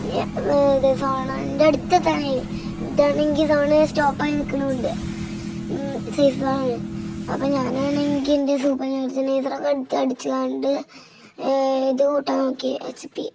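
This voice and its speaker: A very high, youthful-sounding gamer voice with a northern Kerala Malabar accent, flat in intonation. The delivery is casual play-by-play, halting and repetitive, over a clearly noisy recording. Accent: northern Kerala Malabar Malayalam. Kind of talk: casual gameplay commentary, recounting moves.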